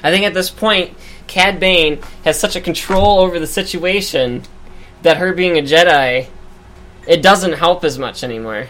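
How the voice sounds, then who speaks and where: A man with a high-pitched voice co-hosting a casual fan discussion, animated and laughing often. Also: moderate pace. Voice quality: high-pitched for his age. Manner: animated, laughs often, echoes his co-host. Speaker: podcast co-host.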